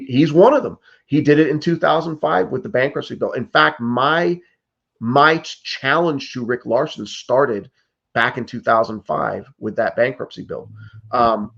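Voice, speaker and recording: A man in his fifties speaks in an earnest, pressing way, stumbling over restarts and leaning on "like" and "you know." His voice sits at a middle pitch. The audio is dull and muffled, as if it were coming through a video call. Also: vocal fry. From United States. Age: 50s. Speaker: political candidate. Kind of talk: interview answers.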